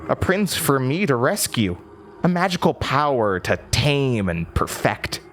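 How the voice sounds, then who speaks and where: A man playing a troubled, trapped young woman, lonely and obsessive, in a halting, intense horror audio drama monologue. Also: mid-range voice, very animated, fairly bright tone. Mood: bitter, trapped. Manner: halting, stammering, brooding, intense.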